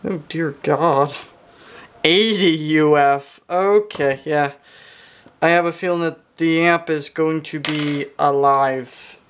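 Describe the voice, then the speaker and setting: A man's voice: on the high side, steady tone, takes it slowly, fairly bright tone, a little hiss or hum. A young man giving casual hands-on commentary as a hobbyist repairer, muttering to himself with exclamations and casual swearing. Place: indoors at a workbench.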